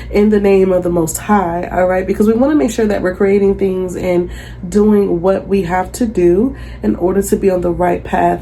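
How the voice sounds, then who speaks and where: A woman's voice: mid-range voice, warm tone, slight background noise. A young woman with a stuffy nose from a cold, chatting casually as she reads tarot cards in her bedroom, often checking in with the viewer. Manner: chatty, affirming, checks in with "okay?". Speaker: tarot reader. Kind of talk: casual tarot reading vlog. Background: jazz music playing.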